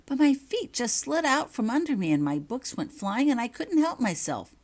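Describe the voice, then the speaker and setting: A young schoolgirl , acted out by a woman narrator, flustered and apologetic. Her voice is lifted higher as she runs her explanations together, in the manner of a storybook reading. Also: sing-song, normal speed, darkish tone.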